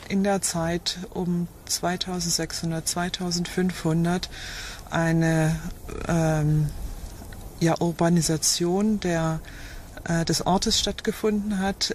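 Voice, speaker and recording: A middle-aged woman explaining as an archaeologist in an unscripted interview, halting as she searches for words. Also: lowish voice, steady tone, a little mellow, a little hiss or hum.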